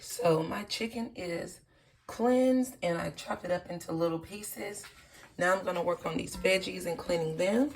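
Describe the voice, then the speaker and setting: A hoarse woman who has lost her voice, casually and tiredly talking through a recipe in her home kitchen. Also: noisy background. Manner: tired, low-key, chatty with fillers. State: hoarse, lost voice from sinus infection. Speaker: home cook vlogger. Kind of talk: casual cooking demonstration. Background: sizzling and frying in a pan.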